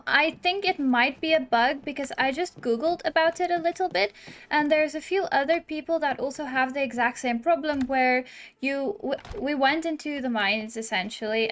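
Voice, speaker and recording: A young woman doing casual, chatty let's play commentary, thinking out loud as she goes. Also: high voice. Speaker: gaming YouTuber.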